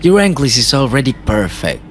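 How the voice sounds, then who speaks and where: A man with a deep voice chatting softly with a stranger on a video call, keeping his voice down. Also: sing-song, brisk, slight background noise. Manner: soft-spoken, holds back his voice. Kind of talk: casual video chat.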